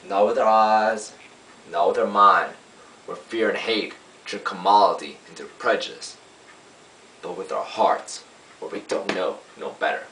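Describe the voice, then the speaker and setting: A man playing a young, earnest defense lawyer, pleading to a jury in an emphatic, oratorical monologue with deliberate pauses. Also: fairly low pitch, pushed and bright. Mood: impassioned. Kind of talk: dramatic courtroom monologue. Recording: roomy, distant mic, faint hiss.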